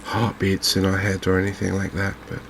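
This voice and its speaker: A man hosting a gaming video in a softly spoken ASMR commentary style, unhurried and hesitant, musing to himself. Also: deep voice, completely flat delivery, creaky, a little hiss or hum.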